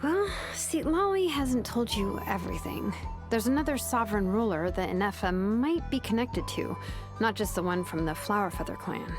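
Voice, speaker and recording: A woman's voice: medium pitch, lively intonation, moderate pace, lightly acted. An actress voicing a cautious, thoughtful young woman who speaks earnestly and measuredly, sometimes hesitating. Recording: game soundtrack music behind.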